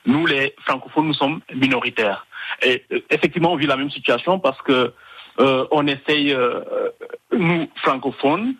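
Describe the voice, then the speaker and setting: Bright husky Senegalese-born man on a remote line. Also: mid-range voice, normal speed, poor audio. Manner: hesitant, many fillers and restarts. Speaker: guest. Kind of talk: phone-in radio interview. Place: at home in Canada, calling in.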